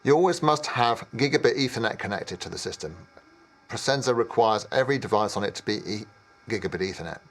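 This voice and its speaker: A man in his fifties presenting product training in a measured, explanatory way with some hesitation. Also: on the low side, animated, soft background music. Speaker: technical trainer.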